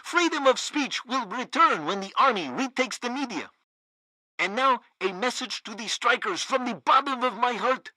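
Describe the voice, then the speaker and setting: A male state radio host from a comic video game. He is pompous and obsequious, with a lively, swooping delivery and a bright, forceful voice. The sound is dry and close, like a studio announcer. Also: very high voice, moderate pace, no echo, full character voice, low-quality recording. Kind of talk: satirical radio news announcing.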